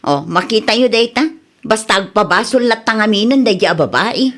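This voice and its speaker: A woman's voice: on the high side, expressive, normal speed. A woman with an Ilocano Filipino accent acting a wronged, assertive young village woman in a radio drama. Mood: aggrieved.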